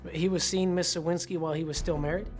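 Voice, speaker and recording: A man's voice: on the high side, steady tone, fast talker, over music, close to an everyday voice. An actor playing a probing male federal investigator, formal and businesslike, in a film. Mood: probing, official. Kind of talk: film interrogation acting.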